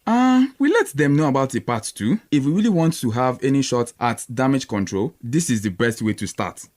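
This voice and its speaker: A man's voice: mid-range voice, lively intonation, normal speed, darkish tone, lightly acted. A Nigerian man acting a frank, pragmatic company colleague in a radio drama, insistent and reasoning. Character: frank, pragmatic male colleague urging damage control.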